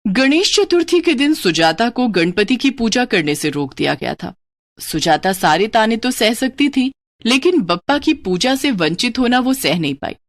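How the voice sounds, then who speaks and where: Animated woman storyteller. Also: on the high side, moderate pace, dry recording, studio quality. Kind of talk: scripted dramatic narration of a moral cartoon story.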